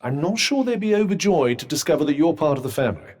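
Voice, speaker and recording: Cuff, a sardonic talking bracelet. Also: male voice, fairly high pitch, very animated, a little mellow. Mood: wry. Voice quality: formal and dry. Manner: urbane, teasing, measured. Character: wry, sardonic male talking magic bracelet. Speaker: companion character. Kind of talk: video game voice lines.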